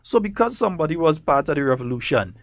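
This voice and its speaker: A man's voice: a little high, sing-song, fast talker, no echo. Trinidadian grenadian accent, male host, emphatic, defiant, dry studio sound. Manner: emphatic, defiant, repeats for effect, chuckles. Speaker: talk show host. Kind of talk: studio political talk. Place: radio studio.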